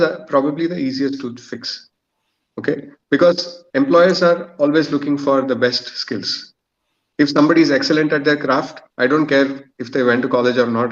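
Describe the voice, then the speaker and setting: A man's voice: mid-range voice, dull audio. A man talking conversationally as a panel guest, hesitant and thinking aloud as he goes. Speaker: podcast guest, employer.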